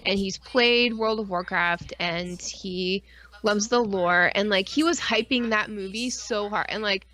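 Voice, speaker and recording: A woman's voice: mid-range voice, a bit bright. A woman co-hosting a podcast stream, chatting casually in a rambling, informal way with lots of filler 'like'. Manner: rambling and informal, frequent 'like' and mild swearing. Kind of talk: casual chat.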